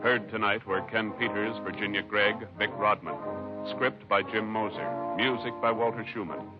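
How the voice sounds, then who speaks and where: A man with an American accent announcing in a solemn, measured, formal 1950s radio style. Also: fairly low pitch, fairly even intonation. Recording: muffled old radio recording, music behind. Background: music.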